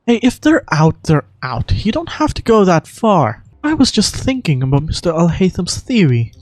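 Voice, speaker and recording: A man voicing a friendly, excitable young male inventor in a game, eager and expressive. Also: sits high, normal speed, no room sound, voice pushed up. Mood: exasperated, fond. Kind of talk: game character voice acting.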